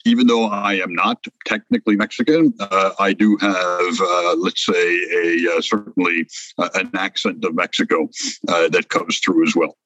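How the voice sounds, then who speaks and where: A man's voice: low-pitched, fairly even intonation, moderate pace, fairly bright tone, no room sound, low-quality recording. An older man, born in France, speaking as an interview guest in a reflective way, with many hesitations and restarts. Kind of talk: conversational interview.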